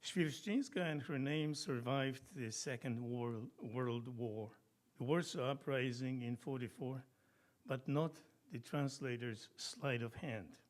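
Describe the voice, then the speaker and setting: Slow-speaking man reading poetry aloud. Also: mid-range voice. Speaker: poet reading own work. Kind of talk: live poetry reading with storytelling. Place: live reading event before an audience.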